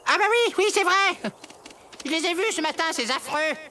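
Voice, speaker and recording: Small self-centred squirrel, very animated and high, exclamatory cartoon delivery. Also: female voice, moderate pace, slight background noise, heavily acted. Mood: excitable. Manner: exclamatory, stammering, sarcastic. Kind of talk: animated cartoon dub acting. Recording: loud music under the voice.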